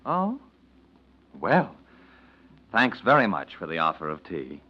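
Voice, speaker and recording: Florid, sardonic lodger, swooping pitch. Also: male voice, huge pitch swings, takes it slowly, full character voice. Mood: puzzled, suspicious. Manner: florid, theatrical, mocking. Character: witty, penniless male lodger, grandiloquent and sardonic. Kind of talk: 1940s radio drama acting. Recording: dull vintage radio recording.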